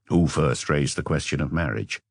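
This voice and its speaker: A man's voice: very deep voice, normal speed, vocal fry, dry recording, clean studio sound, full character voice. A man voicing a patient French police inspector who asks terse, probing questions in an audiobook. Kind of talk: audiobook character dialogue.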